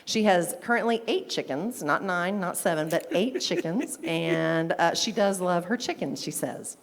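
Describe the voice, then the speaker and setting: A woman's voice: fairly low pitch, expressive, measured pace. A woman presenting a student of the month, upbeat and chatty, cheering on each detail she reads out. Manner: upbeat, chatty, warmly enthusiastic. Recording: reverberant meeting hall.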